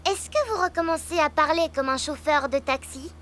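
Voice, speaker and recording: An actress playing a cheerful, eager little girl pig in a children's cartoon dub, childlike and excitable. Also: high voice, normal speed. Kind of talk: animated preschool cartoon dub. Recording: music behind the voice.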